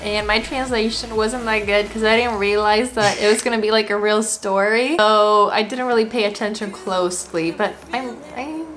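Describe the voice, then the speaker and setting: A woman's voice: mid-range voice, fairly even intonation, normal speed, few pauses, a bit bright. A young woman co-hosting a travel vlog, chatting casually and playfully. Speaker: co-host. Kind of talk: casual chat. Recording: faint music behind voice.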